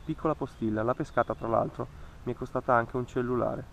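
A man's voice: medium pitch, fairly even intonation, fast, vocal fry, slight background noise, rough recording. A man in his thirties narrating a fishing vlog in an earnest, cautionary way, repeating words for emphasis. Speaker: fishing vlogger. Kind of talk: reflective voice-over narration. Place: outdoors by a lake among trees.

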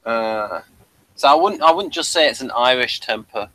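Man, casual discussion host, medium pitch, halting rambling delivery, dry room sound. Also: expressive, sharp tone, no echo. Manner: hesitant, rambling, addresses listeners. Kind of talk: casual episode review chat.

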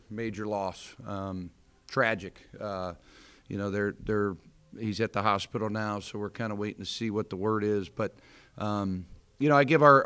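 American coach with a low voice at a press table. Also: male voice, a bit bright, smooth. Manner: candid, many fillers and restarts. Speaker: head basketball coach. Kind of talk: postgame press conference. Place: indoor press room in front of a sponsor backdrop. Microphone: table microphone in front.

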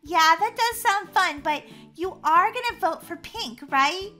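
Woman as a high, bubbly teenage cheerleader. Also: lively intonation, unhurried, pushed and bright, faint music behind, exaggerated voice. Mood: perky. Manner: gushing, bubbly. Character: perky, girly teenage cheerleader girl, pink-obsessed.

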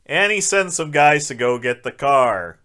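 Bright, irreverent wisecracking male movie reviewer. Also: medium pitch, expressive, a little slow, a little hiss or hum. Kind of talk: sarcastic scripted film review narration.

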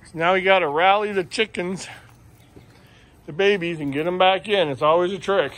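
A man's voice: high voice, moderate pace, a bit bright. A middle-aged American man casually narrates farm chores in a folksy, chatty, unscripted way. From Michigan, United States. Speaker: farm vlogger. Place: open barn and farmyard. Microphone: handheld phone camera. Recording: noisy handheld farm recording with poultry. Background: clucking chickens, birds, outdoor ambience.

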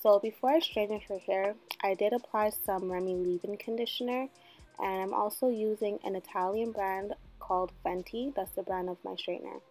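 A young woman in her twenties giving a casual hair tutorial at home, chatty and joking, with a slightly husky voice that she calls a smoker's voice. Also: mid-range voice, fairly even intonation, moderate pace, darkish tone, soft background music. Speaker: beauty vlogger. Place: bedroom at home, indoors.

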